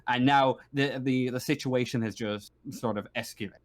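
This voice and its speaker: A man's voice: mid-range voice, steady tone, normal speed. A man improvising narration as a tabletop game master, hesitantly, often stumbling and repeating words.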